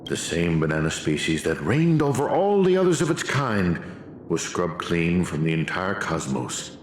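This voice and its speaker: A man's voice: deep voice, big pitch swings, normal speed, roomy sound, slight background noise. An actor playing a villainous research aide in a video game, a pompous man who lectures theatrically. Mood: lecturing.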